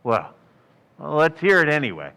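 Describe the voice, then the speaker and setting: A man's voice: on the high side, big pitch swings, moderate pace, gravelly, bright, forceful tone, a little hiss or hum, heavily acted. A man acting a shrewd, folksy police lieutenant in a radio crime drama, needling people with mock modesty and hesitant asides. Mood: mock-humble, probing.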